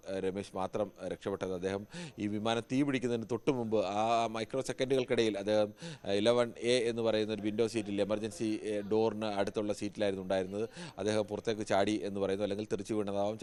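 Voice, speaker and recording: Fast, even-toned man reporting news. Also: fairly low pitch, smooth. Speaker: news correspondent. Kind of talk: unscripted live news analysis.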